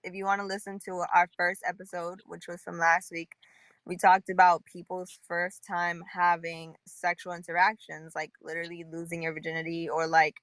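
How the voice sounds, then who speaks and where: A woman's voice: fairly low pitch, completely flat delivery, normal speed, a bit bright, dry recording. Chatty young woman podcast host. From New York. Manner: chatty, laughs often, says "like" a lot. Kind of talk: casual chat on a live audio panel.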